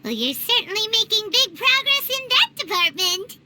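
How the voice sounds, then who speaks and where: A woman voicing a tiny, chirpy, cheeky childlike fairy companion in a video game, with a squeaky voice. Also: very animated, moderate pace, very noisy. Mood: teasing. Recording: loud music behind the voice.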